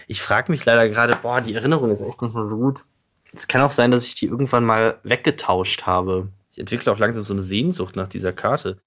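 A man's voice: fairly low pitch, steady tone, brisk, a bit bright. A man, chatting casually in a jokey, self-reflective way. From Freising, Bavaria, Germany. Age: 20s. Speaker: podcast subject and co-host. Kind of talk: casual chat.

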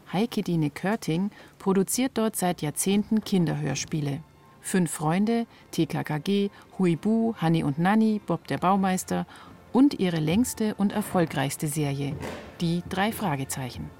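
A woman's voice: lowish voice, dark tone. A woman reporter reading scripted narration for a radio feature.

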